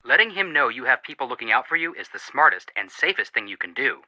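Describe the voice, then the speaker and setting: An actor playing an earnest, sympathetic young amateur detective in scripted video game dialogue. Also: male voice, medium pitch, very animated, fast, pushed and bright, no room sound, muffled recording, exaggerated voice. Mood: concerned.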